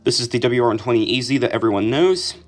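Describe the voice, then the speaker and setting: A young man with an American accent talks through items in a collection. His voice sits at an average pitch, and he speaks in an informal, matter-of-fact way, like a casual home presenter. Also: lively intonation, fast talker, faint music behind. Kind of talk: casual collection showcase narration.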